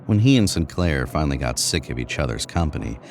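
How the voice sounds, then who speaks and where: A man's voice: extremely low pitch, sing-song, brisk, slight background noise. A man narrating a scripted Western story.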